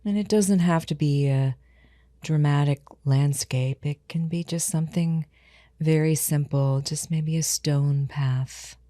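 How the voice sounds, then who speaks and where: A woman guides a meditation at a slow, unhurried pace. Her voice is strikingly low and soft-edged in tone, and she gives each instruction gently and soothingly. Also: dry recording, clean studio sound.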